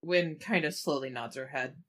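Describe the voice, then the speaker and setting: A woman's voice: low voice, normal speed, fairly bright tone. A woman chatting casually as a player in a tabletop roleplaying game.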